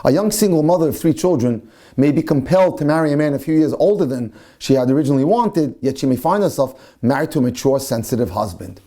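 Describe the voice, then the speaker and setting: A man's voice: medium pitch, animated, quick pace, dark tone. A man, a rabbi and lecturer, giving an earnest talk on dating and marriage and asking pointed rhetorical questions. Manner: reads out listed examples one after another.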